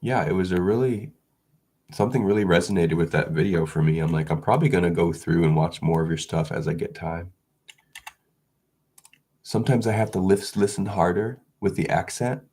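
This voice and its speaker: A very low-voiced man with a gravelly, husky tone talks casually to his audience. He is congested and sniffling from an illness, and he rambles along with frequent restarts. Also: brisk. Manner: rambling, restarts, soft chuckles. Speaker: video creator. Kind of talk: casual vlog chat.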